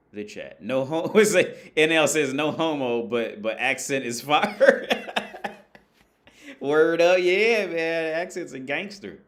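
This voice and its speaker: A man from the United States hosting a casual call-in livestream, talking loosely with lots of swearing and laughter. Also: mid-range voice. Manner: loose, profane, laughing, animated.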